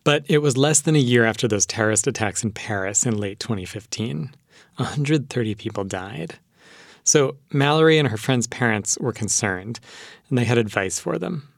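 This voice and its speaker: A man's voice: medium pitch, expressive, normal speed, gravelly, no echo, clean studio sound. A man hosting a radio show, reading scripted links and introductions.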